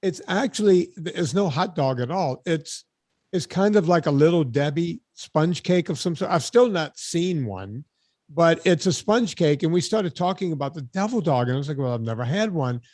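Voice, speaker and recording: A man in his late fifties hosts a podcast in a chatty, storytelling way and breaks in with quick questions. His voice sits fairly high for a man. The sound is dry and close, with no room behind it. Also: lively intonation, a little mellow, no echo. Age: 50s. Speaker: podcast host. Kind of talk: casual interview chat.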